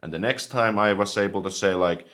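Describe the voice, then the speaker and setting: A man with a deep, low voice hosts a relaxed live stream about language learning. He reads and answers viewer comments in a chatty, easygoing way, dropping "like" as filler between thoughts. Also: fairly quick. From Finland.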